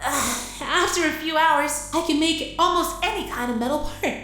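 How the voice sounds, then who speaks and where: A plucky young girl inventor in an audio drama, with a high, eager voice. She giggles and hesitates as she explains herself. The room echoes slightly and music plays underneath. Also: moderate pace, sharp tone. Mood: giggly, wincing. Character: plucky teenage girl inventor turned walking war machine. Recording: roomy echo, music underneath.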